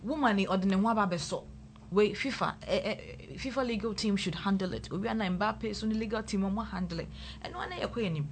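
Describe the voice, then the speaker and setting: A Ghanaian woman co-hosting a casual talk show, animated and laughing often, slipping into Twi. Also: medium pitch, fast talker, few pauses, a little hiss or hum. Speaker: co-host. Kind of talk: casual chat.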